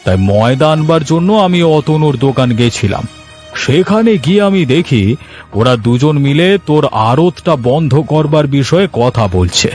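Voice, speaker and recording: A man voicing an authoritative, grave village headman in a cartoon, deliberate and familiar in his talk. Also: on the high side, expressive, darkish tone, soft background music, natural voice.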